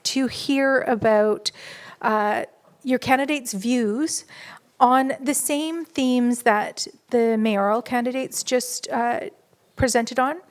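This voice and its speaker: A woman moderating a public candidates forum, reading out names and explaining the format in a steady, organized way. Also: fairly high pitch. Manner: steady, organized announcing with fillers.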